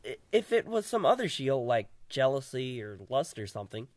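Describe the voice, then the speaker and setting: A woman voicing a nervous, eager young male sidekick, husky and earnest, in an audio drama. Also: very deep voice, sing-song, moderate pace, a little mellow, no room sound, heavily acted. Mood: eager explaining. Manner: stammering, earnest.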